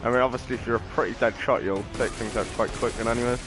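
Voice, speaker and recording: A man's voice: mid-range voice, fairly even intonation, rapid-fire, music in the background. A British man giving casual, rambling, sweary gameplay commentary. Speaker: gaming streamer.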